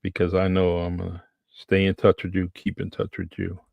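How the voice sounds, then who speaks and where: A man hosting a podcast, talking in a relaxed way with many pauses and fillers. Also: low-pitched, gravelly, no room sound, muffled recording. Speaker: podcast host. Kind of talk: casual conversation.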